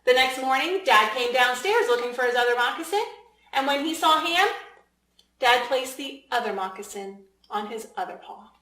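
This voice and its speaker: A woman reading a children's storybook aloud as its author, in an expressive, deliberate way with emphasis for young listeners. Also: sits high. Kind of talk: storybook read-aloud narration. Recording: echoey room.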